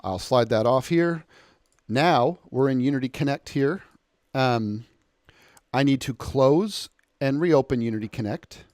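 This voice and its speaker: An American man talks through a software tutorial in a conversational, unscripted style. His voice sits at an average male pitch and is recorded close and dry. He explains things step by step in an informal way. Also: unhurried, no echo. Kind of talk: informal off-the-cuff software walkthrough. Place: indoors, close dry voice-over of a screen recording.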